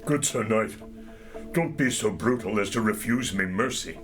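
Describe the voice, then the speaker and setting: An actor playing a haughty, menacing besieging knight in a medieval audio drama, speaking in formal, archaic phrases. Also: male voice, lowish voice, normal speed, music in the background, close to an everyday voice. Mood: pleading. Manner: archaic, formal, declaiming diction.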